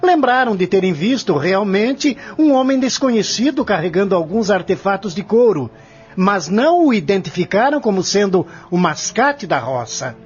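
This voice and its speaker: A man's voice: high-pitched, animated, normal speed, breathy edge. A man playing a confident, relentless lawyer in an audio drama, arguing in a formal, articulate, declamatory way. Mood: methodical, confident. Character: confident prosecuting lawyer, relentless, methodical, formal courtroom orator. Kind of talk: audio drama acting, courtroom scene. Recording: dull lo-fi audio with music underneath.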